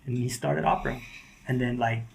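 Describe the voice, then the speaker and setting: A man's voice: mid-range voice, fairly quick, creaky, a little hiss or hum. Chill skater guy, mid voice, says like and dude a lot, guest on a podcast. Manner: relaxed, slangy, lots of "like" and "dude". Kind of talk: casual podcast chat.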